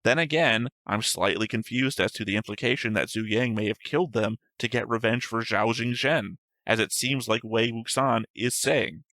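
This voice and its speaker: A man's voice: mid-range voice, normal speed, few pauses, bright, forceful tone, no echo. A man co-hosting an anime reaction show, talking through his notes in casual, winding explanations and poking fun at himself. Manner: chatty, self-deprecating, long winding explanations. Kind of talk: casual discussion of an anime episode.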